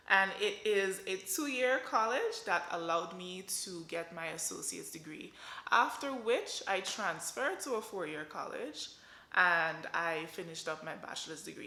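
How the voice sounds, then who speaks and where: A woman's voice: mid-range voice, sharp tone. A woman in her twenties from Guyana with a Guyanese accent, chatting animatedly to her viewers in a casual vlog. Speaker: vlogger. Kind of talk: casual chat to camera. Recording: echoey room.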